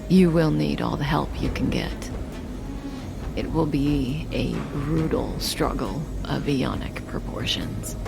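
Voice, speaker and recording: An actress playing an enigmatic, composed outlaw schemer in a video game, with a measured, coy and knowing delivery. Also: female voice, low-pitched, gravelly, heavily acted. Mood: grave, hushed. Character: enigmatic, composed adult woman, teasing elite outlaw schemer. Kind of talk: video game cutscene voice acting.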